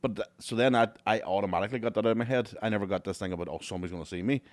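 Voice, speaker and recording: A Northern Irish man with a very deep voice talks fast and swears freely through casual podcast banter. The sound is dry and close. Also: no echo. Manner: rapid, sweary, laughing banter. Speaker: comedian and podcast co-host. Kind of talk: casual comedy podcast chat.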